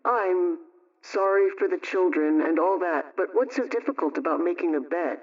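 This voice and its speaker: A man with a fairly high voice reads a scripted homemaking chat in old-time radio style. He is instructive and conversational, sounding a bit distant on a muffled, lo-fi recording. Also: moderate pace. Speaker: household advice program host.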